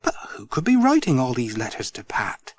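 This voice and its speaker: A middle-aged man reading a children's storybook aloud, gentle and expressive. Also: mid-range voice, moderate pace, dry recording. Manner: gentle, expressive, sing-song. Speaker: audiobook narrator.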